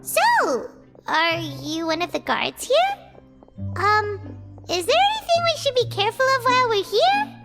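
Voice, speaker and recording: A woman's voice: very high-pitched, huge pitch swings, a little slow, loud music behind the voice, exaggerated voice. A woman voicing a tiny, chatty, childlike fairy companion in a video game, innocent and eager. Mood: puzzled. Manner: refers to self in third person, bubbly.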